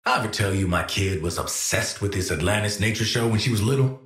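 A man's voice: fairly low pitch, fairly quick, fairly bright tone, echoey room, close to an everyday voice. An actor playing a cynical, cocky assassin and protective father in a video game, with an American accent and a wry, slangy, streetwise way of talking. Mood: wry, confident. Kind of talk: video game character voice lines.

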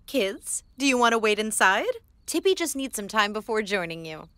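A woman voicing a gentle dinosaur mother in a preschool cartoon, with a bright lilting voice. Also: medium pitch, sing-song, moderate pace, lightly acted.

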